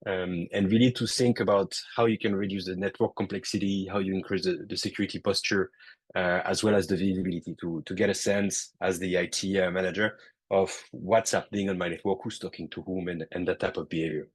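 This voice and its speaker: A man with a French accent giving a technical webinar presentation over a call, halting often with fillers and restarts. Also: deep voice, steady tone, husky. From France. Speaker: guest presenter.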